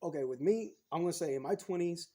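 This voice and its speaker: A man's voice: on the high side, quick pace, warm tone. A man in his thirties, a guest on a podcast, talking frankly in casual chat and swearing freely as he retells things.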